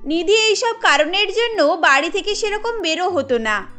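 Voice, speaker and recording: Female narrator for a scripted cartoon story: extremely high, forceful bright tone. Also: expressive, normal speed, soft background music.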